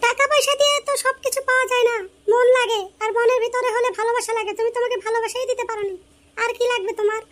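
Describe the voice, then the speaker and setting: A performer voicing a brash, defiant young village wife, scolding and whining in a shrill, exaggerated comic Bengali drama style. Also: flat delivery, very fast, a bit bright. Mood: scornful.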